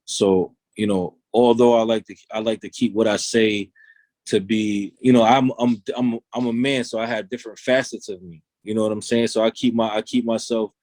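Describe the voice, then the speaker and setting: New guy, lowish voice, chatting loose w lots of restarts, dead dry room. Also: fairly even intonation, moderate pace, darkish tone, no room sound. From Long Island, New York. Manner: loose, repeats "you know what I'm saying", restarts. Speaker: rapper guest. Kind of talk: casual interview talk.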